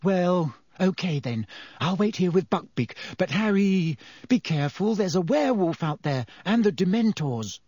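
An anxious, bossy teenage girl from an audiobook, voiced by a man who lifts her well above his own register. She talks in urgent, insistent half-whispers with a husky edge. The recording is dry and close. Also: high-pitched, lively intonation, normal speed, darkish tone, no room sound, pitched above the performer's own voice. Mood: worried.

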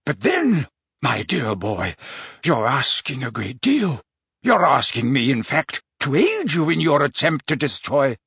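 A man's voice: medium pitch, huge pitch swings, unhurried, creaky, a bit bright, slightly rough, no echo, clean studio sound, exaggerated voice. A man voicing a tipsy, weepy old male potions master who talks in an emotional, fretful way with hiccups. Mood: anxious. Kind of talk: audiobook character voice.

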